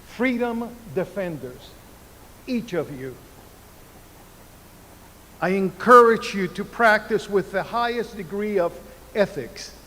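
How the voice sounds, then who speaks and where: A Cuban-born man in his seventies giving a commencement speech at a podium microphone in a large university arena, speaking in deliberate phrases with emphatic pauses. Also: sits high, expressive, sharp tone, slight background noise. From Cuba. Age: 70s. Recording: reverberant arena sound.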